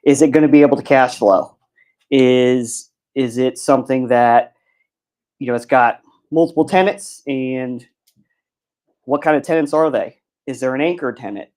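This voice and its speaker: A man's voice: mid-range voice, moderate pace, a little mellow. Man lecturing on commercial lending. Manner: plain explanatory, many ums and uhs. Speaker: bank commercial lender, guest speaker. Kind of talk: seminar lecture. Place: seminar room.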